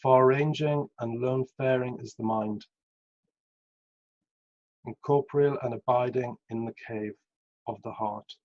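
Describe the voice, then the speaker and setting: A man reading scripture aloud in a measured way. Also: medium pitch, steady tone, a little mellow. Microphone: video call over Zoom. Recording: dull, lo-fi video call.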